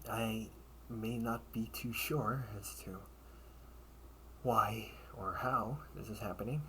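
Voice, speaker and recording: A man acts out a loyal knight in a dramatic audio roleplay, his voice on the low side. He speaks very slowly and earnestly, with breathy, hushed phrases meant to steady a comrade. The home recording has plainly audible noise behind him. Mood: gently encouraging. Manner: slow, halting delivery with breathy, hushed phrases.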